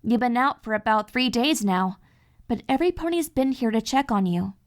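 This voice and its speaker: Woman as a fairly high, caring pony mentor. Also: animated, normal speed, natural voice. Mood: reassuring. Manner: reassuring, explanatory. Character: calm, caring female cartoon pony mentor. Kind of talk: dramatic fan fiction audio reading.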